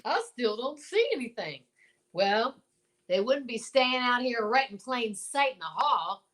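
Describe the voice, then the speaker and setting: A woman's voice: on the high side, big pitch swings, measured pace, fairly bright tone. A woman playing a determined, blustering male marshal in an old-time radio serial, with an emphatic, folksy, commanding delivery.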